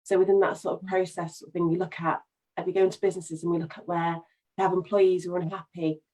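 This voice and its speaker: Even-toned woman on a video call. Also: lowish voice, fast, poor audio. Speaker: business owner and life coach. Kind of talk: casual introduction in an online meeting.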